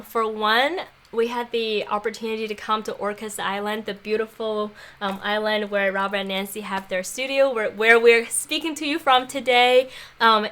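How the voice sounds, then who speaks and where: A young woman talking in a casual interview, thoughtful and warm, laughing often and using plenty of fillers. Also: on the high side. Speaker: guest, former apprentice. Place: makeshift home studio on an island.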